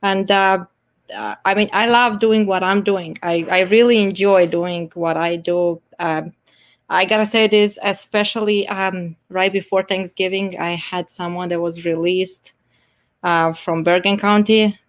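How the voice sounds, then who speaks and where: A woman's voice: lowish voice, steady tone, a little slow. A woman in her forties from Moldova, a native Romanian speaker with a Moldovan accent, chatting earnestly as a game show contestant over a video call. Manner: chatty, earnest, laughs often. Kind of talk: casual self-introduction.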